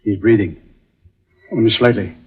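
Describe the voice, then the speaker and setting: A man's voice: lowish voice, moderate pace, breathy edge. A man playing a grave, inquisitive adventurer in a 1940s radio horror drama, speaking with dramatic, portentous delivery. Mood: urgent. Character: inquisitive, grave male adventurer musing on ancient legends. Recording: dull 1940s radio recording.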